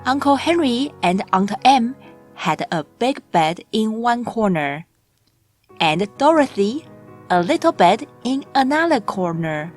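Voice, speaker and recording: Slow-paced woman narrating a children's storybook. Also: on the high side, sing-song. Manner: measured, deliberate read-aloud delivery. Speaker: audiobook narrator. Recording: music bed under the voice. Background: background music.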